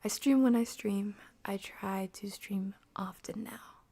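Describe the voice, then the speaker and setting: A woman streamer chatting casually to viewers in a soft, breathy, often half-whispered voice with a gentle, unhurried manner. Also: medium pitch. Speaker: game streamer and host. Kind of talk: casual livestream chat to viewers.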